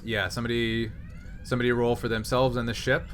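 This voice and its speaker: Bright-voiced man running a tabletop game. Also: medium pitch, level tone, fast talker, a little hiss or hum. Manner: informal, conversational. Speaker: game master. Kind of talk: improvised narration and rulings in a tabletop sci-fi game.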